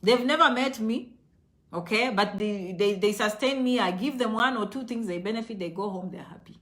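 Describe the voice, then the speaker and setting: A woman's voice: mid-range voice, moderate pace, fairly bright tone. A woman with a Kenyan accent talking casually to her online viewers in an emphatic, motivational way, repeating phrases for stress. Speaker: online video host.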